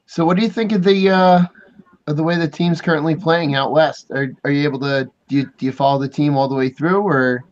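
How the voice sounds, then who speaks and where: A man's voice: on the high side, steady tone, fairly quick, muffled recording. A man hosting a podcast interview, asking questions in a hesitant way with many ums and restarts. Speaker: podcast host.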